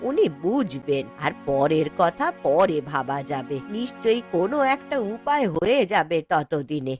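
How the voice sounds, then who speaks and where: A woman voicing a poor, patient old grandmother in a fairy tale cartoon, speaking slowly and gently with a plaintive manner. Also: fairly low pitch.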